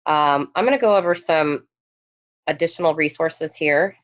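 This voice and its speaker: Woman, instructor, hoarse, losing her voice, hesitant ums, headphone mic, muffled lo-fi sound. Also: sits low, moderate pace. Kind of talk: online course lecture. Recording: dull lo-fi recording.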